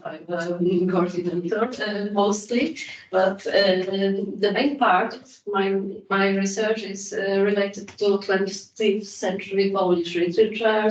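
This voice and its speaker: A woman researcher introducing herself informally at an academic event, speaking haltingly with frequent pauses. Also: fairly low pitch. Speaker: academic researcher and project coordinator. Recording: dull, echoey room, distant microphone.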